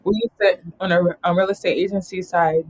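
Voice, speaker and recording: A woman's voice: fairly low pitch, steady tone, a little fast, slightly rough. A woman from New York talking casually as an interview guest, rambling and laughing often. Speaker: guest, travel company founder.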